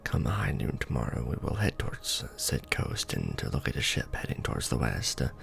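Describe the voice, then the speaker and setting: A man as a deep, gravelly, courtly vampire lord. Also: wildly swooping pitch, a bit bright, exaggerated voice. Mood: commanding, scheming. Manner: formal, deliberate, tender, halting pauses. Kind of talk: ASMR romance roleplay.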